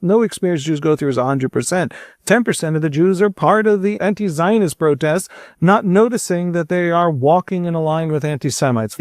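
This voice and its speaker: A man's voice: a little high, a little mellow, dry recording, studio quality. A man hosting an interview, asking earnest, searching questions and often restarting his sentences. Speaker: podcast host.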